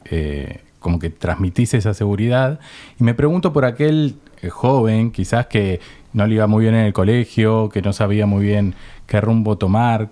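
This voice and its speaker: A man's voice: on the low side, mellow. A man with a Rioplatense Argentine accent interviewing in a casual, reflective way, building his questions gradually and tentatively. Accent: Rioplatense Argentine Spanish.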